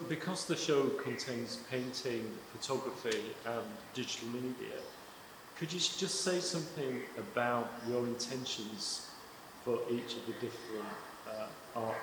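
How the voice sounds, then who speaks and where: Male interviewer, measured and searching, in a roomy gallery with clear background noise. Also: medium pitch. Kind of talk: public conversation at an exhibition. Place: exhibition project space. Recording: echoey room with long reverb, clear noise.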